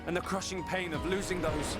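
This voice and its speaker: A man plays a determined young hero with a British accent. His voice is light and high, with earnest, dramatic video game delivery. Loud music plays behind him. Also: steady tone, brisk, lightly acted. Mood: resolute.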